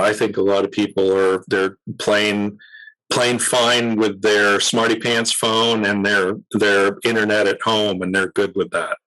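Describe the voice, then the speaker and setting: A man speaking as an interview guest, explaining radio in a conversational, measured way and repeating phrases for emphasis. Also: on the low side, fairly bright tone, rough recording.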